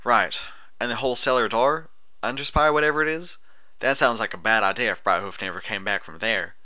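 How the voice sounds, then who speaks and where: A man's voice: medium pitch, fairly quick, bright, forceful tone. A man voicing a feisty, blunt female farm pony with folksy, defiant talk in an audiobook story. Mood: uneasy, muttering. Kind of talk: audiobook character voice.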